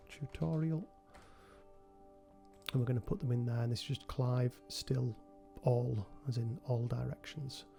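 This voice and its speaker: A man with a cold hosting a relaxed software tutorial, talking through each step with frequent ums. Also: mid-range voice, gravelly. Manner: relaxed, thinking aloud with many ums. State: has a cold. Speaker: game developer tutorial host. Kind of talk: screen-recorded software tutorial.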